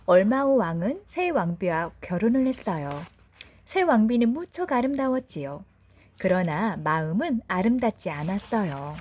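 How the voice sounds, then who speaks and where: A woman narrating a bedtime fairy tale for children in a gentle, deliberate, expressive way. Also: mid-range voice, a little hiss or hum. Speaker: storyteller mother.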